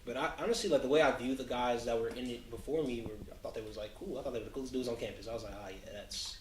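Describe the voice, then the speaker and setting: A man's voice: medium pitch, rapid-fire. A man in his twenties from Houston, a student telling his story casually in an interview. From Houston, USA. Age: 20s. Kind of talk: interview answers. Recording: distant mic in an echoey room with noise.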